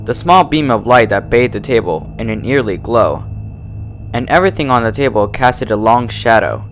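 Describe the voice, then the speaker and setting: Expressive man narrating a horror story. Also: mid-range voice, normal speed, a little hiss or hum. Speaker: fan fiction narrator.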